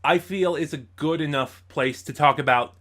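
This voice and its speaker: A man giving an informal spoken review as host, in a halting way, stumbling over words and correcting himself. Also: fairly high pitch, fairly bright tone.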